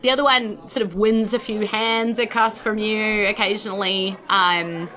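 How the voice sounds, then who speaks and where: A woman's voice: medium pitch, fairly even intonation, moderate pace, sharp tone, a faint crowd, rough recording. A woman, narrating a tabletop game as game master in an informal, conversational way with casual asides.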